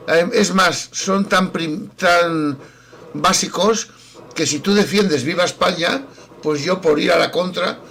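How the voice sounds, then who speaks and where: A man's voice: a little high, fairly bright tone. A man from Spain in a video-call debate who lays out his arguments firmly, in a lecturing way. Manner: firm, declarative, lecturing. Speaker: debate participant.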